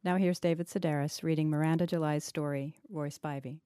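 A woman's voice: low-pitched, steady tone, brisk, warm tone, clean tone, no room sound, studio quality. A woman hosting a literary podcast, composed and articulate in scripted introductions and interview questions. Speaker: podcast host.

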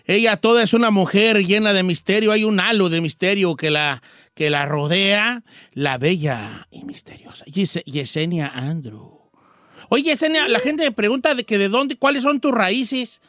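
Bright, dry-miked Mexican country old-timer played by a man, hosting radio with slangy teasing. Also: high voice, moderate pace, no room sound. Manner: folksy, slangy, teasing, stammering. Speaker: radio show host. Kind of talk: casual radio banter with callers.

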